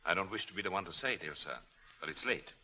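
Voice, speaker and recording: An actor in a 1940s radio drama playing a courteous, reserved and dignified gentleman, polite and formal. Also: sits low, animated, fast, full character voice. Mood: polite, cool.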